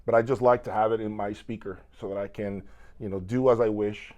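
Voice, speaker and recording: A man's voice: lowish voice, fairly even intonation, a little fast, darkish tone, dull audio. A man hosting an on-location product interview, speaking enthusiastically with salesman-like emphasis. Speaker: video channel host interviewing exhibitors. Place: hotel exhibit room at an audio show.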